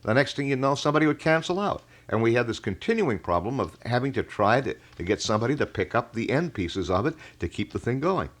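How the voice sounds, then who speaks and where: A middle-aged American man hosting a live call-in radio show, talking in a folksy, wordy way with halting phrasing. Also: mid-range voice, very animated. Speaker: radio talk show host. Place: radio studio. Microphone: studio broadcast microphone.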